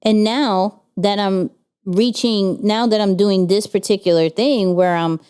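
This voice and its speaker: A woman co-hosts a casual business chat, her voice mellow and smooth. She talks with energy, stacks up rhetorical questions and often restarts her sentences. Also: medium pitch, fairly even intonation, a little slow. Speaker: podcast co-host.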